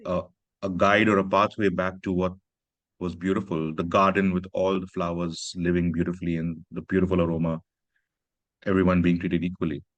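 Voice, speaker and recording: A man from Pakistan talks about his work as an author in an earnest, reflective way. His voice sits very low. The sound is dry and close, with almost no room echo. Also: little pitch movement, fairly quick, highs cut off. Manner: earnest, reflective, frequent "you know" and "right". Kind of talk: conversational literary talk. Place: literary festival stage.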